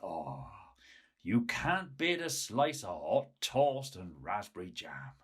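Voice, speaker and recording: A man's voice: lowish voice, wildly swooping pitch, takes it slowly, breathy edge, full character voice. A man playing a surly old loner in a story reading, muttering curtly and dismissively. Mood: longing.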